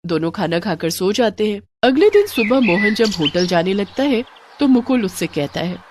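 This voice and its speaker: A woman's voice: medium pitch, big pitch swings, moderate pace, noisy background. A woman narrating a scripted moral bedtime story for children.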